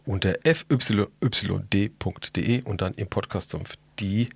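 Gravelly, husky man in casual podcast chat. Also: on the low side, measured pace, dry recording. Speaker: podcast co-host.

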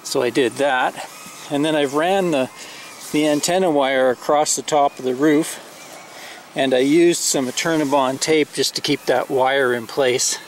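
A man's voice: mid-range voice, steady tone, measured pace, a little hiss or hum. A middle-aged man talking casually while showing and installing gear in and on his RV, explaining each step with plenty of fillers and restarts. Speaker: RV owner reviewing gear. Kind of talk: casual unboxing and install walkthrough. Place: inside an RV, later up on its roof outdoors.

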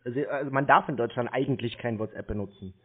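A man's voice: mid-range voice, quick pace, breathy edge. Insistent man on a thin phone line. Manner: insistent, lecturing, blunt. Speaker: scam-baiter taking a cold call. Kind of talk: confrontational phone conversation. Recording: thin, band-limited phone-line sound.

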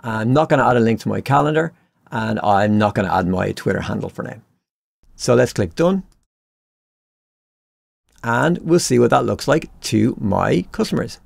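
Male tutorial presenter, heavy vocal fry, casual explanatory screencast read, close and dry. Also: mid-range voice, animated, normal speed, long pauses, dry recording. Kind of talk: instructional screencast narration, conversational.

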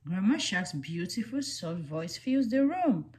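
A woman reading a children's picture book aloud in a deliberate, simple storytelling way for young children. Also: on the low side, big pitch swings, darkish tone. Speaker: storybook reader.

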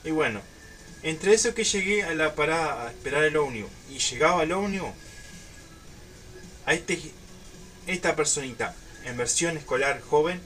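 A young man with a Uruguayan accent, telling a story casually to camera in an informal, halting way. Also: on the high side, lively intonation, bright, forceful tone, recorded from a distance, faint music behind, rough recording. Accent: Uruguayan Spanish. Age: young adult. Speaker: YouTube storyteller. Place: small room at home.

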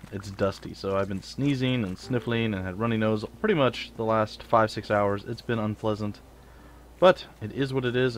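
A man with a lowish voice and a stuffy, sniffly nose talks through a video game as its host. He rambles in a loose, casual way with self-joking asides, speaking into a desk mic at home. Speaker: let's play host. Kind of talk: casual gameplay commentary.

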